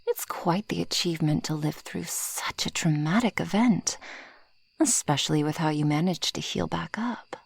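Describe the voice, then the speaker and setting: A woman voicing a possessive, proud female dragon in an ASMR roleplay, with formal, haughty phrasing and a breathy, husky voice that often drops toward a whisper. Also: lowish voice, moderate pace, no echo. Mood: haughty, teasing. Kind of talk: ASMR roleplay addressed to the listener.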